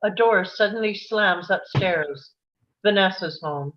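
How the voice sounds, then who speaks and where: A woman's voice: medium pitch, unhurried, muffled recording, slight background noise. A woman narrator reading scripted stage directions for a screenplay.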